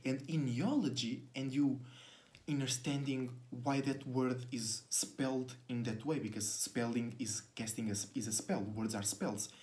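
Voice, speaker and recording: A man's voice: mid-range voice, steady tone, unhurried, darkish tone. A man in his twenties, a native Portuguese speaker, musing earnestly on camera in a small bedroom, restarting words often. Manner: earnest and searching, many restarts, gestures widely with both hands. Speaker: astrology vlogger. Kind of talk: casual spiritual monologue to camera. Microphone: laptop webcam close up.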